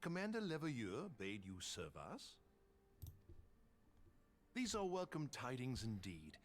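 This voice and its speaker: A man performs a grave military captain in a fantasy game. The phrasing is formal and deliberate, and the pitch moves in lively, dramatic swings. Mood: grave, confiding.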